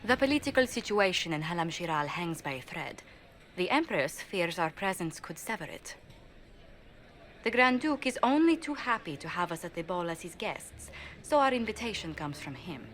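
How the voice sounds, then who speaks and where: An actress playing a poised court diplomat who gives measured, formal warnings in a game cutscene. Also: female voice, on the low side, very animated, a bit bright, a little hiss or hum. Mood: earnest. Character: poised, careful female court diplomat, adult. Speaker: diplomatic adviser. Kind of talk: video game cutscene dialogue.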